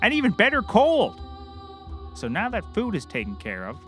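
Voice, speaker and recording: A man's voice: high voice, wildly swooping pitch, normal speed, fairly bright tone, soft background music, exaggerated voice. An actor playing a bumbling, eager and clueless male private eye in a scripted comedy, chatty and naively confident. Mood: busy planning. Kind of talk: scripted comedy audio drama.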